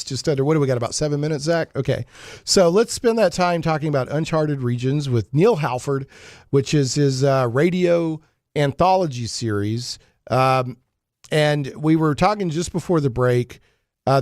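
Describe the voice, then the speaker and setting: A man's voice: medium pitch, darkish tone, no echo. Man hosting talk radio, dry close sound. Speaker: radio talk show host. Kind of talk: live geek talk radio hosting with sponsor reads.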